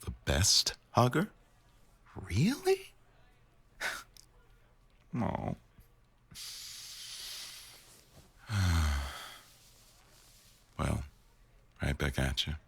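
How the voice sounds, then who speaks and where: A man acting an attentive, tender boss in an intimate boyfriend ASMR roleplay, murmuring slowly to an employee in a gravelly voice. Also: huge pitch swings, fairly bright tone, no echo, exaggerated voice. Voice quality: very deep, gravelly.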